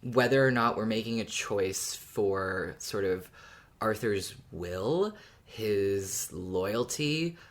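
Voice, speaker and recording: Podcast co-host, mid-pitched voice, thoughtful, chatty, rambling through their take in long sentences. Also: expressive, slow. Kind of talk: casual podcast discussion of a story.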